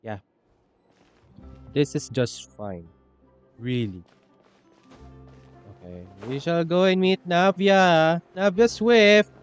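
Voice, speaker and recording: A woman's voice: fairly low pitch, animated, slow pace, long pauses, clean tone. A woman streaming a game, chatting casually and reading dialogue aloud. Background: faint game music.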